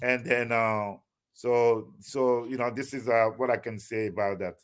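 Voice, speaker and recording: Halting man with many fillers. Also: mid-range voice, steady tone, clear, resonant tone. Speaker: guest. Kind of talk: casual interview talk.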